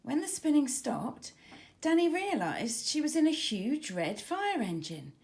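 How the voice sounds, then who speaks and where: A woman children's author reading her storybook aloud with expressive, dramatic emphasis for young listeners. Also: sits high, unhurried, gravelly.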